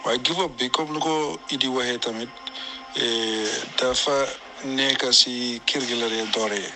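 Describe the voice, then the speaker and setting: A man with a Gambian accent talking in an informal discussion, emphatic and halting, switching between two languages. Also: mid-range voice, steady tone, over music, poor audio. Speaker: panel discussion participant. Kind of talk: casual discussion, mixing English and Wolof. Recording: faint background noise, radio-like sound.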